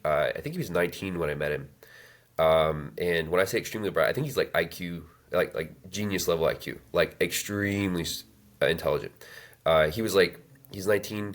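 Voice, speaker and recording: A man in his thirties telling his life story as an interview guest, halting with many fillers and restarts. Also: low voice. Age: 30s. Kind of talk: reflective personal storytelling.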